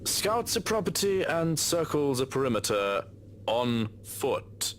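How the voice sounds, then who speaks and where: A long-suffering valet who answers his employer with dry sarcasm. His delivery is animated and sits mid-range, with a faint hiss in the background. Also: medium pitch, slow. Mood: sardonic. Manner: dry, sardonic. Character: weary male valet, dry and put-upon.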